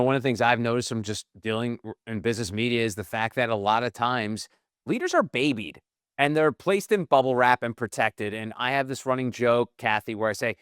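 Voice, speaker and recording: A man's voice: mid-range voice, a bit bright, clean tone, dry recording, clean studio sound. A man hosting a podcast interview, chatty and jokey as he asks his questions. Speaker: podcast host. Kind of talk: interview, casual chat.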